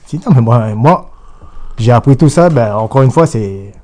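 A man's voice: mid-range voice, lively intonation, moderate pace, dark tone. A man from New Caledonia, giving an insistent political interview full of restarts and repetition. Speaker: guest.

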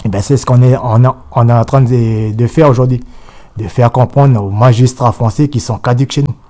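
A man's voice: mid-range voice, fairly even intonation, normal speed, warm tone. A man from New Caledonia, giving an insistent political interview full of restarts and repetition. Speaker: guest.

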